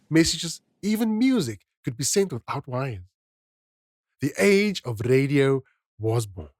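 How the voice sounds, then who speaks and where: Male podcast narrator, mid-range voice, theatrical storytelling read with music behind. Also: medium pitch. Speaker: podcast host.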